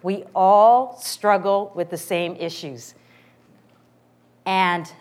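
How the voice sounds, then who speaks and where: A woman in her forties with a loud voice, giving a lively, joking inspirational talk to a women's morning gathering. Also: medium pitch, extremely slow. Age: 40s. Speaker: guest speaker.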